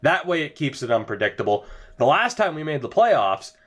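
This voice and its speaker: Excitable young man losing his voice. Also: a little high, normal speed. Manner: excitable, jokey, laughs often. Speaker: gaming YouTuber. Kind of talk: casual gameplay commentary.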